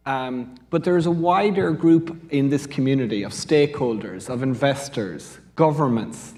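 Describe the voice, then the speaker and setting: A man's voice: mid-range voice, a little mellow. Irish man speaking on a panel. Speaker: business school speaker on a panel. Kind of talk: panel discussion answer.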